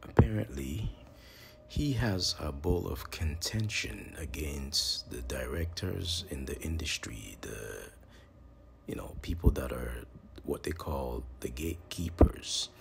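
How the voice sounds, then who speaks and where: A man hosting a podcast, giving a promotional monologue in a deliberate, portentous way with emphatic pauses. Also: extremely low pitch, expressive, vocal fry. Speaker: podcast host. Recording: noticeable background noise.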